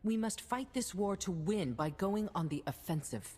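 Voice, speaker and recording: An actress playing a shrewd, stern head of state in a science-fiction video game, with a formal, cutting, authoritative manner. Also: female voice, mid-range voice, lively intonation, moderate pace, a little mellow, husky. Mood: approving, resolute. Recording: reverberant hall, music behind.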